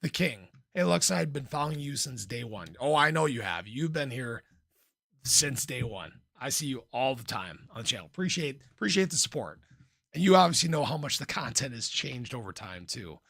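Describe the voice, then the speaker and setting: A man with a gravelly, slightly hoarse voice at a middling pitch talks casually as a livestream host. He is informal and playful, often clearing his throat. The sound is dry and close, with no room to it. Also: big pitch swings, moderate pace, fairly bright tone, dry recording. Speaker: livestream host, crypto commentator. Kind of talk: casual livestream chat, reading and answering viewer comments.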